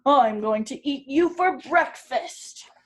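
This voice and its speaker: A woman voicing a terrible, hungry male troll who growls his threats slowly and loudly. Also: high voice, expressive. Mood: menacing growl. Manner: growling, roaring, slow and loud. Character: terrible ugly one-eyed male troll, hungry and bullying.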